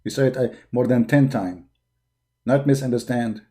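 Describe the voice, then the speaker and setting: A man's voice: medium pitch, fairly even intonation, moderate pace, dark tone. A man hosting a live stream, mocking and arguing back at a video he plays, and laughing often. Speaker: debate host reacting to a video.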